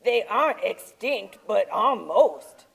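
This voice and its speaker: A woman voicing a shy, gentle male Yeti in a children's puppet show, speaking in a mild, hesitant way. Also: medium pitch, lively intonation, takes it slowly, creaky, slightly rough, voice pushed down, exaggerated voice, low-quality recording. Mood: protective. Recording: live puppet show performance.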